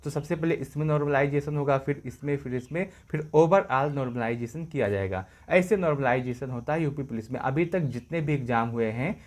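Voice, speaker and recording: A man's voice: medium pitch, brisk, hardly any pauses. A man presenting exam updates as an informal explainer, going over points repeatedly in a reassuring way.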